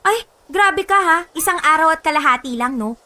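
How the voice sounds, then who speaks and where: A woman's voice: high voice, lively intonation, moderate pace, bright, forceful tone. A woman acting a sulky young woman fed up with her boyfriend in a Filipino radio drama, complaining in a huffy, emphatic way. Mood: hurt, defensive. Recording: music bed behind voice.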